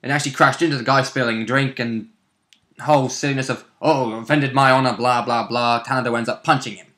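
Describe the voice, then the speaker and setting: A man's voice: medium pitch, fairly even intonation, a little fast, fairly bright tone. A man in casual chat, recapping events from a role-play game he took part in.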